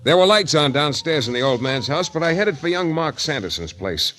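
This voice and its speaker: A man's voice: on the high side, very animated, brisk, pushed and bright. An American man playing a wisecracking, hard-boiled private detective in 1940s radio style, wry, breezy and slangy. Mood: wry narration. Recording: noisy 1940s radio transcription recording.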